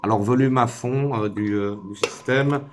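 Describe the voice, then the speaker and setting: A man's voice: medium pitch, moderate pace, noisy background. A man giving a casual hands-on tutorial, informal and hesitant, thinking aloud as he works. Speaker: DIY tutorial maker.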